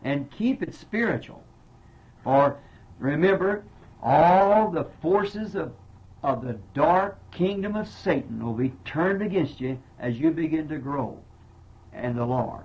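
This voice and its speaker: A man's voice: a little high, darkish tone. A man preaching as pastor of his church in a Southern American accent, speaking earnestly and plainly and repeating words for emphasis. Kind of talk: sermon answering congregation questions. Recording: dull lo-fi 1960s tape recording.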